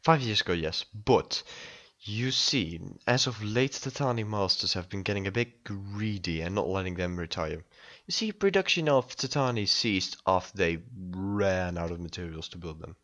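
A man's voice: low-pitched, animated, moderate pace, pushed and bright. A man playing a restless, self-important president in an audio drama, speaking in a formal, insistent way. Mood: earnest, defensive. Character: restless, self-important male president turned amateur sleuth.

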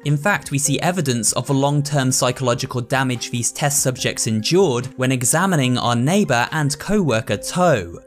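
A man giving a steady, scripted narration explaining a game's story. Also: medium pitch, normal speed, hardly any pauses, soft background music.

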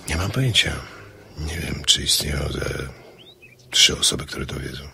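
Very deep, stern man. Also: sing-song, normal speed, vocal fry, bright, forceful tone, breathy edge, exaggerated voice. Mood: guarded, explaining. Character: guarded man from secret counterintelligence work, stern and dry. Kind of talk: dramatized crime novel.

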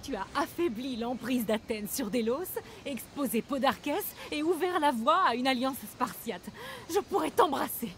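Une actrice de doublage jouant une jeune cheffe rebelle audacieuse et passionnée dans un jeu vidéo. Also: female voice, high-pitched, a little slow, slight background noise. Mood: grateful, then flirtatious. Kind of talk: dubbed video game acting.

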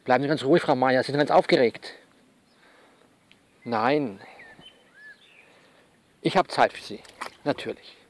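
A male actor plays a man coaching someone over the phone in an audio drama. His voice is gravelly and mid-pitched, with faint noise behind it. He reassures and bosses in turn, in halting, repeated instructions. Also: close to an everyday voice. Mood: reassuring. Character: bossy, soothing male confidant coaching a nervous woman. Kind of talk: one-sided phone conversation in an audio novel.

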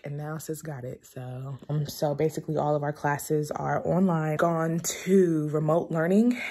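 A woman's voice: sits low, creaky, a little mellow, breathy edge, dry recording. A young adult woman chatting casually to her vlog audience in an informal, rambling, slangy way. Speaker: vlogger, graduate student. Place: at home and inside a parked or moving car. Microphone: phone at arm's length or mounted.

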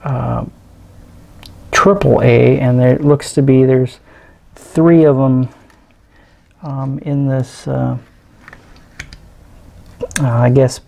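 A middle-aged man giving casual, unscripted hands-on commentary in a halting, hesitant way as he presents a teardown. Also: mid-range voice, steady tone, vocal fry, darkish tone. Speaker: electronics hobbyist presenter. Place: indoors at a tabletop.

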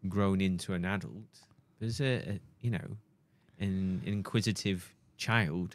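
A man's voice: low-pitched, steady tone, measured pace, dry recording. A man in casual podcast banter, telling a rambling story with hesitations. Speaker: podcast co-host.

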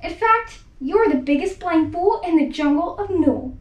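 A woman voicing a sour female kangaroo who talks slowly and scornfully. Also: very high voice, animated, lo-fi sound, voice pushed up. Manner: slow and scornful. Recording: roomy echo.

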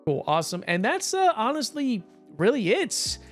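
A man giving casual, rambling commentary over gameplay, his voice hoarse and tired after a long stream. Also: very high voice, wildly swooping pitch, moderate pace, faint music behind. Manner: chatty, rambling, with frequent fillers and short laughs. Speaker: gaming YouTuber.

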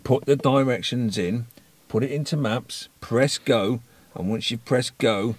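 A man's voice: mid-range voice, unhurried, breathy edge. A British man in his forties, a vlogger talking casually to camera in an emphatic, wry, explanatory way. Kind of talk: casual vlog chat.

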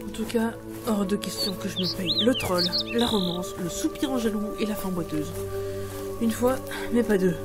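A woman playing a sardonic, flustered editor trapped as a fantasy princess, halting, blunt and exasperated, in an audio drama. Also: mid-range voice, loud music behind the voice, close to an everyday voice. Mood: sardonic, determined.

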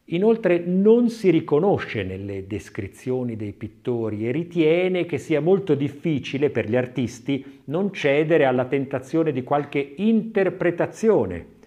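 A man narrating an audiobook in a scripted, expressive literary reading style, with measured phrasing and dramatic emphasis. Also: mid-range voice.

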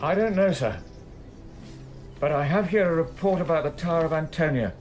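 A man's voice: fairly high pitch, moderate pace, darkish tone, faint music behind, lightly acted. An actor playing a conscientious, troubled prison keeper reporting respectfully to his superior in a radio drama. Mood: troubled, earnest.

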